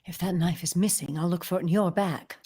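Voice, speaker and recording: An actress playing a detective's witty, adoring wife in a 1940s radio drama. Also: female voice, fairly low pitch, fairly even intonation, brisk, warm tone, breathy edge. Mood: questioning.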